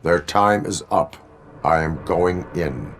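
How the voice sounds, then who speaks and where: An actor playing a stoic male cyborg police officer in a video game, flat, deliberate and commanding. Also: low voice, slight background noise, full character voice.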